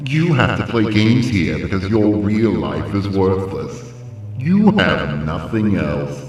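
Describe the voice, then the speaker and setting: A man voicing a cruel, mocking monster that taunts in a drawn-out, sneering way. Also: sits low, sing-song, darkish tone, full character voice. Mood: cruel mockery. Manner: taunting, slow, drawn-out delivery. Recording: echoing hall reverb, distant, music behind.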